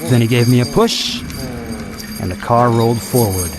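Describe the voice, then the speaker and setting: An actor playing an irritable, uneasy, world-weary carnival boss, gruffly impatient and dramatic, in an audio drama. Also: male voice, medium pitch, normal speed, over music, natural voice. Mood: haunted narration. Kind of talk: audio drama acting with narration.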